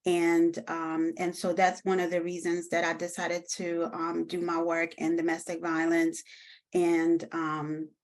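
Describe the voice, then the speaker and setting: Woman from haiti, low voice, talks slow and thoughtful with lots of ums, on a video call. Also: monotone. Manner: reflective, hesitant, many pauses and fillers. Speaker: panelist, deputy director. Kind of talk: panel discussion.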